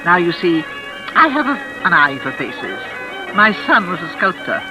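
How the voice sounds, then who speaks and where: An actress playing a lonely, mysterious old gentlewoman in a 1940s radio suspense play, formal, precise and insistent. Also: on the low side, big pitch swings, moderate pace, low-quality recording. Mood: courteous, probing. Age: older. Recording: vintage radio drama with music behind.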